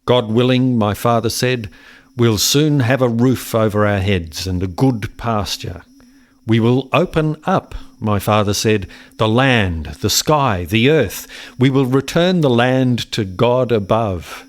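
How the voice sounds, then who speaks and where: An actor playing a haunted settler who recalls his lost brother in deliberate, poetic radio-play narration. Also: mid-range voice, natural voice. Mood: brooding, haunted.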